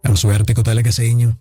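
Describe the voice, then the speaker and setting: Mellow, humbled older brother. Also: male voice, mid-range voice. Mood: regretful, humbled. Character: humbled, grateful older brother, former tough guy. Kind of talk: radio drama dialogue, slangy and conversational. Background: background music.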